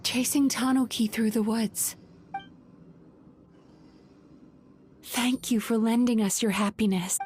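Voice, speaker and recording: A woman playing a gentle, plucky young adventurer who heals dreams in an anime video game. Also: on the high side, fairly even intonation, normal speed, background noise, natural voice. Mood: gentle, bright. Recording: loud game music behind. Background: loud game music.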